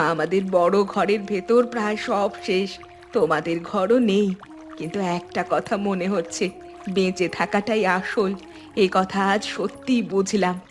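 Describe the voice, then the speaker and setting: A kindly village wife from a cartoon drama, acted by a woman. She speaks earnestly and with feeling at a medium pitch, with music playing behind her. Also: close to an everyday voice. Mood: relieved, earnest. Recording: music bed under the voice.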